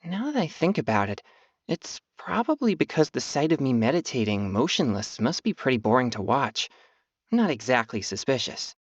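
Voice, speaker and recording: A man voicing an earnest, disciplined teenage boy exorcist in a video game, speaking in a formal, measured and slightly bashful way. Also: mid-range voice, very animated, dry recording, muffled recording. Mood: earnest, rueful. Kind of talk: video game character voice lines.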